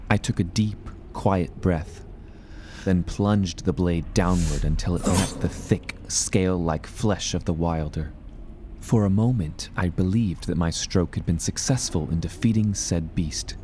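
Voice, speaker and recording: An actor playing a gloomy, formal adventurer who recounts his perils in grave, measured, ornate dramatic narration. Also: low-pitched, mellow, slight background noise. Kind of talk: dramatic first-person audio drama narration.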